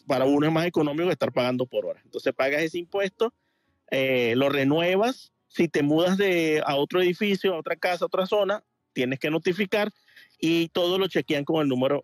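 Un hombre de Venezuela que llama a un programa en directo y explica algo con muchas muletillas y frases que vuelve a empezar. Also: male voice, on the high side, fairly quick, no echo. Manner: rambling, many fillers and restarts. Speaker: caller. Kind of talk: casual call-in chat. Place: home in Miami Beach.

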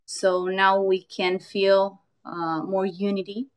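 A woman's voice: medium pitch, level tone, slow pace. A young adult woman from Argentina with an Argentine Spanish accent, speaking English hesitantly with many fillers in casual chat. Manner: hesitant, frequent fillers and laughter. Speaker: guest, yoga teacher.

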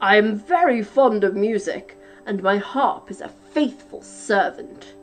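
A woman voicing a huge, roaring, man-eating male giant who chants slowly and with menace. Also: expressive, soft background music, close to an everyday voice. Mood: commanding. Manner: booming, slow, menacing chant.

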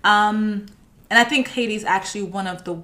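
Measured, reflective woman. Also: mid-range voice, pushed and bright. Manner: reflective, measured, with many restarts. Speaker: podcast guest. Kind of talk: casual chat.

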